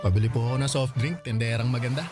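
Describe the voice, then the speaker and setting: A man's voice: medium pitch, racing, close to an everyday voice. Guy acting flirty filipino suitor, teasing, medium voice, radio drama w/ music under. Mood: playful, sweet. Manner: teasing, chatty.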